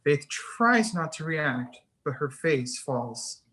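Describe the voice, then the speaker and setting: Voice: mid-range voice, big pitch swings, slow pace, a bit bright, slightly rough. A narrator reading screenplay action lines and scene headings in a scripted table read.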